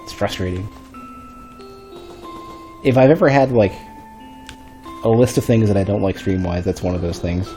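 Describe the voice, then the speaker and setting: A man streams a game at his desk mic, talking half to himself in a low, bass-heavy voice. His tone is dark and relaxed, a touch stuffy, and he circles back and restarts sentences as he thinks. Game music plays softly underneath. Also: moderate pace, pauses often. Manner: rambling, thinking aloud with restarts. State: a bit stuffy. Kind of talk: casual gameplay commentary. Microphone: desk microphone.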